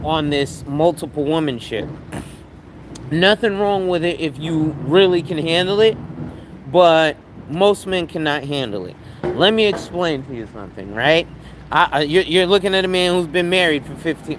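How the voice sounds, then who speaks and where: A man, streaming live on a city street and talking in a blunt, slangy, profane, rambling way. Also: on the high side. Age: 40s. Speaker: financial advisor, live streamer. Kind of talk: casual live-stream talk. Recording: street noise around.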